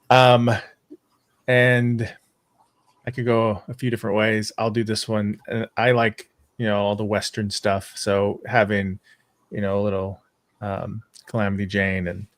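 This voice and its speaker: A man's voice: fairly low pitch, no echo. A man speaking casually and off the cuff as a fan panelist. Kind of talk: casual chat.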